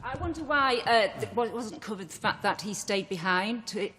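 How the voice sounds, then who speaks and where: A woman in a studio audience speaking indignantly, with halting restarts. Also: medium pitch, animated, fairly bright tone. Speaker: audience member. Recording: studio hall reverb.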